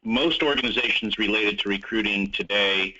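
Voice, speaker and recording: Male moderator, lowish pitch, very bright and tense tone, informal, on a muffled call line. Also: moderate pace. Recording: dull conference call line.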